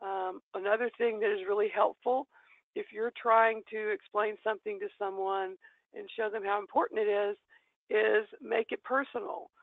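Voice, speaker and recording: Hoarse, gravelly woman on a phone line. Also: medium pitch, fairly even intonation, normal speed, dry recording. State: hoarse from bronchitis. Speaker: webinar presenter. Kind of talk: webinar presentation. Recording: tinny dry phone-line audio.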